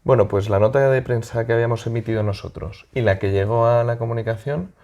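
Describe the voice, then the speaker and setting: Man, Spain accent, mellow dark tone, frequent vocal fry, halting conversational answers, politician guest. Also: medium pitch, dull audio. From Madrid, Spain. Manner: halting, searching for words.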